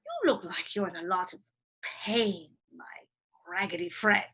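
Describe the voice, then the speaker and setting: A woman's voice: medium pitch, wildly swooping pitch, normal speed, pushed and bright, husky, heavily acted. A woman playing a gloating, cackling villainous hospital doctor in a script reading. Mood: menacing.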